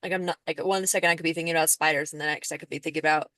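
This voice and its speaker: A young woman with a low-pitched voice, chatting on a student podcast. Her talk is casual and rambling, full of "like", recorded dry and close. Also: steady tone, rapid-fire, a bit bright, dry recording. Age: young adult. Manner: rambling, peppered with "like". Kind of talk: casual chat.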